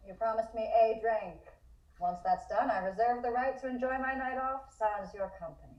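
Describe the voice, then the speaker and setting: A woman playing a cool, sharp-tongued flapper, dry and aloof, in a table read. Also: fairly high pitch, moderate pace, lightly acted. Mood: dry, guarded. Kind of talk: comic script table read. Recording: echoey, muffled call audio.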